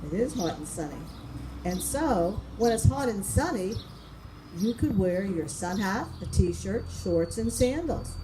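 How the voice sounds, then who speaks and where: A woman reads picture books to young children at an unhurried, slow pace. She draws out words for drama and keeps turning to her listeners with simple questions. The recording is made outdoors, with loud noise and chirping birds around her voice. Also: mid-range voice, lively intonation. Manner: asks the listeners frequent simple questions, dramatic word stretching. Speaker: children's storytime reader. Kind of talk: reading picture books aloud to young children, chatting between lines. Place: outdoors among flowers and open sky.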